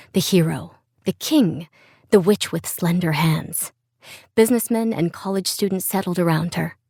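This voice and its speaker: A woman narrates literary fiction at a mid-range pitch. Her storytelling is measured and expressive, recorded very dry in a studio. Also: dry recording. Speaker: audiobook narrator.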